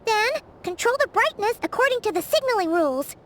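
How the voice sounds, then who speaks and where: A woman voicing a small, excitable fairy companion in a video game, with a squeaky childlike voice. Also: a little fast, a little hiss or hum. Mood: thoughtful.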